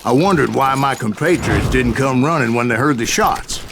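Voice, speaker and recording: An actor playing a gruff old gunslinger in a video game, telling tall tales in a salty, folksy American Western drawl. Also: male voice, mid-range voice, normal speed, background noise, natural voice. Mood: wry storytelling. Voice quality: gravelly.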